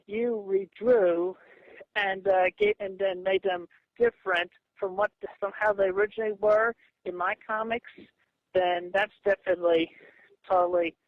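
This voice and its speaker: Man, high voice, dry muffled phone audio, stammering, repetitive, argumentative conversation. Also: steady tone, dry recording. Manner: halting, stammering, repeats himself. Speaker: comic creator on a phone call. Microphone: phone call.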